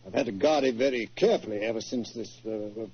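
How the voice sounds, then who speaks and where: An actor playing a worried, blustering titled English art collector with a British accent and gruff, hemming speech, in 1940s radio style. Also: male voice, medium pitch, fast. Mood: uneasy. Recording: muffled old 1940s radio recording.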